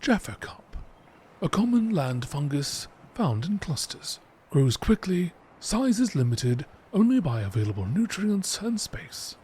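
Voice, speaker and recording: A man reading encyclopedia entries aloud in a measured, informative nature documentary style. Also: fairly high pitch, very animated, dark tone, a little hiss or hum.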